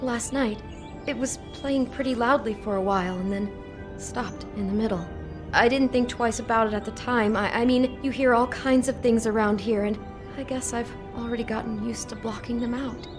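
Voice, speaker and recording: An actress playing an anxious young woman in a video game, talking in an expressive, worried way with stammering restarts. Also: a little high, normal speed, close to an everyday voice. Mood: thoughtful. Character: anxious young woman, kind, easily unsettled. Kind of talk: video game cutscene acting. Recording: game audio with music behind.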